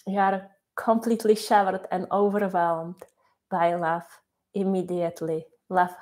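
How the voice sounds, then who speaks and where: Female meditation guide, non-native (Czech) speaker, very slow and gentle, repetitive phrasing. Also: medium pitch. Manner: slow, soft and repetitive, with many pauses.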